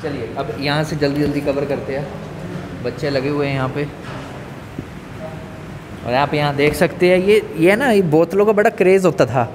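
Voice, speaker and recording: A young man, hosting a casual gym tour vlog with a handheld mic, brisk and chatty. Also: on the high side, noisy background. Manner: brisk, chatty, prompting questions. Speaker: vlog host touring a gym. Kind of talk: casual walkthrough with mic in hand. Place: large indoor gym. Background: loud music.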